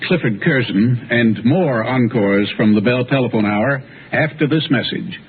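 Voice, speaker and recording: A man's voice: mid-range voice, unhurried, breathy edge. A man hosting a classical music programme, presenting from a script in a vintage radio style. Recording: tinny, noisy vintage radio broadcast.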